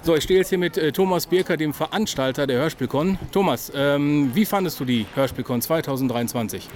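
A man's voice: fairly high pitch, brisk. A man interviewing people casually on site as a podcast host. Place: busy audio drama convention. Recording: loud busy convention crowd behind voice.

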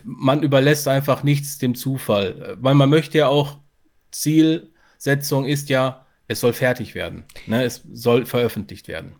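A man's voice: mid-range voice, unhurried, vocal fry, darkish tone. A man co-hosting a podcast, explaining things in a casual, conversational style. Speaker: podcast co-host.

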